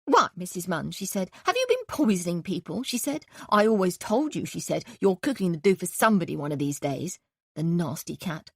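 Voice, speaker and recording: A woman reading an audiobook, voicing an angry, shrill, indignant older landlady. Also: sing-song, brisk, breathy edge, no echo, studio quality, full character voice.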